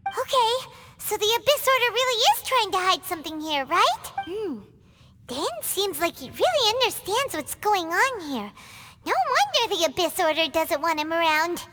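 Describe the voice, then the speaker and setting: A woman's voice: very high-pitched, normal speed, exaggerated voice. A woman voicing a tiny, chirpy, nosy fairy-like female companion in a video game, who refers to herself in the third person. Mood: curious. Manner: excitable, curious, speaks of herself in third person. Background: game music.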